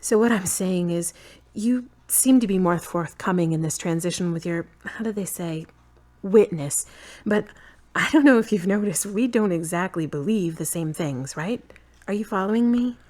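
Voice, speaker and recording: A woman plays a fond but uneasy girlfriend in an audio drama. Her voice sits a little low. She talks earnestly and frankly, often questioning, with a tired edge. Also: normal speed, darkish tone, natural voice.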